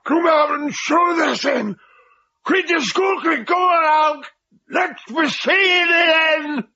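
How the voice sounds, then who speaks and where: An actor playing the menacing ghost of an old man, shouting imperiously in a radio drama. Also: extremely high pitch, slow pace, lo-fi sound, slight background noise, heavily acted. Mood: raging.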